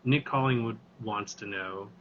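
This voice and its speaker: A man's voice: lowish voice, measured pace, fairly bright tone. A man hosting a casual interview chat, easygoing, who reads out viewer questions. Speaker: host. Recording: lo-fi video call audio with faint noise.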